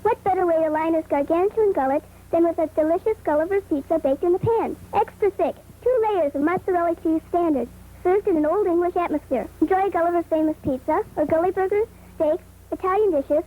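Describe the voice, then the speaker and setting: Woman doing a very high, whimsical pitch character. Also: fairly quick, narrow, tinny audio. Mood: whimsical, exclamatory. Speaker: commercial announcer. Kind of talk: theatrical 1970s TV restaurant ad read.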